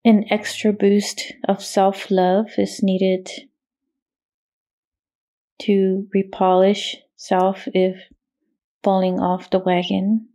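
Slow, creaky-voiced woman guiding meditation. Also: medium pitch, monotone, warm tone. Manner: soothing, measured, with long pauses. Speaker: meditation guide, host. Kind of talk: guided meditation. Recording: meditation music with singing bowl behind. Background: meditation music, singing bowl tones.

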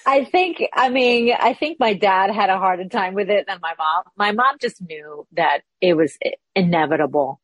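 Woman from Peru, husky low voice, dry close sound, thoughtful interview guest. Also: dry recording. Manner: thoughtful, searching for words with restarts. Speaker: actress, guest. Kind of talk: casual interview chat.

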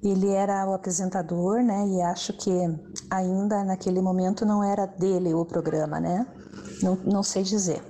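A middle-aged woman speaks in a warm, reminiscing way, her tone dark and mellow. She often adds little "né" tags as she recalls things. It sounds like a recorded interview clip. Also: medium pitch, steady tone, normal speed. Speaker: former stage assistant and singer.

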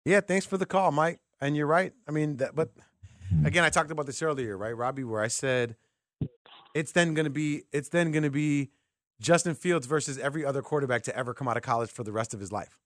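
A man's voice: on the high side, brisk, a bit bright, no room sound. Dry-sounding man hosting sports talk radio. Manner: conversational, thinks aloud, asks rhetorical questions. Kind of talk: sports call-in talk, opinionated commentary. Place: radio studio. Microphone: close studio microphone.

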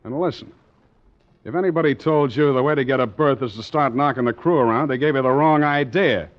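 An actor playing a tough, wary American sea captain and narrator in a 1940s radio drama, with a clipped, wry delivery. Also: male voice, mid-range voice, brisk, natural voice. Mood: gruff, defiant. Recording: dull old radio recording, organ music.

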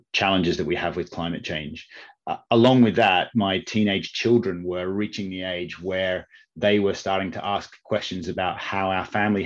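A middle-aged man from Australia with an accent softened by years in Britain, answering interview questions in a measured way with frequent restarts. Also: sits low. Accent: Australian, softened by long UK residence. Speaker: investment network CEO, guest. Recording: slightly dull lo-fi audio.

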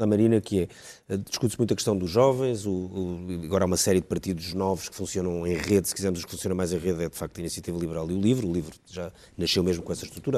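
A man moderating a televised panel debate, cutting in briskly and pressing questions. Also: low-pitched, warm tone.

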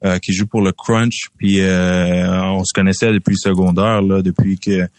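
Young Québécois man, low and dry. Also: moderate pace, no echo. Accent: Québécois French. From Quebec. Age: young adult. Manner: relaxed, repeats words, many fillers. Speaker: football draft prospect guest. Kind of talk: casual interview answers.

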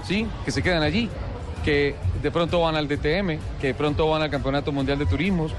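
Brief: joking sports reporter, a bit higher voice, music playing behind. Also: male voice. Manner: jovial, joking, fast-talking questioner. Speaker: motorsport journalist and interviewer. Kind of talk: lively interview at a motor show.

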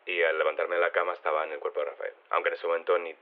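Low-voiced guy calling a radio show, shy n halting, understated, tinny phone sound. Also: little pitch movement. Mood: hesitant, matter-of-fact. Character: shy woman living inside a man's body. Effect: telephone filter. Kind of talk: naturalistic phone interview in audio drama. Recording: narrow, tinny phone line.

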